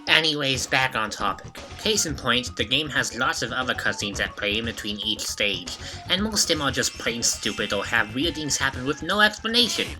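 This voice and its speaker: A man's voice: mid-range voice, expressive, moderate pace, music in the background. A young man narrating a scripted, joking video retrospective with a wry, mock-dramatic manner. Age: young adult. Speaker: YouTube retrospective host.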